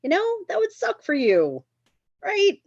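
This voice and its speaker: A woman's voice: extremely high pitch, huge pitch swings, measured pace, dry recording, muffled recording, voice pushed up, exaggerated voice. Smug, dismissive male lover, read by a woman. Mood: smug, evasive. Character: Ted, smug dismissive casual lover, adult man. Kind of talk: comedy screenplay table read.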